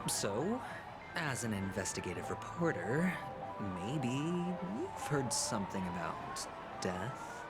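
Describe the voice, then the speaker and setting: A man playing a suave, polite young gentleman in a video game, smooth and lightly amused. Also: medium pitch, big pitch swings, takes it slowly. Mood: smooth, probing. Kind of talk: video game character dialogue. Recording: loud music behind. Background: loud game music.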